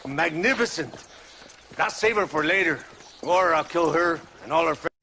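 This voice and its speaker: A man's voice: fairly high pitch, moderate pace, slight background noise. A man playing a lecherous, menacing soldier with a Mexican accent in a Western video game. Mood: leering. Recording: loud music underneath.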